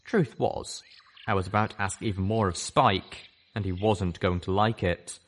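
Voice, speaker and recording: A man reading a story aloud as first-person narration, expressive and dramatic. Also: low voice, normal speed. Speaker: audiobook reader.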